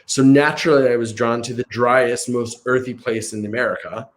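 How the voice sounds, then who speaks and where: Relaxed man whose first language was Italian. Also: medium pitch, moderate pace. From Chicago. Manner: relaxed, many "like" and "um" fillers. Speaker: guest, meditation teacher. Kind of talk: casual conversational interview.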